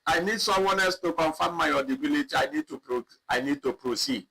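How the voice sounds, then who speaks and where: A man teaching a live online spreadsheet lesson, talking slowly and repeating himself as he clicks through data. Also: fairly high pitch, expressive, gravelly, sharp tone, slightly rough, poor audio. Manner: slow, repetitive, thinking aloud while clicking. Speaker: instructor. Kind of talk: live online software tutorial.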